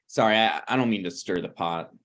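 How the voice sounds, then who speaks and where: A man's voice: lowish voice, fairly quick, fairly bright tone. A man playing an awkward, meddling friend in a sitcom table read, hesitant and apologetic yet blunt. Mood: sheepish. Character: awkward, opinionated, meddling male friend of a married couple. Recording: online video call table read.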